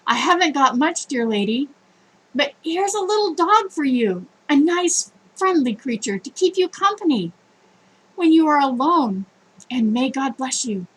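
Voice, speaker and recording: A humble poor mother from a storybook, voiced by a woman reader. The voice is high, with an earnest, plaintive way of talking. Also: sing-song, measured pace. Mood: grateful.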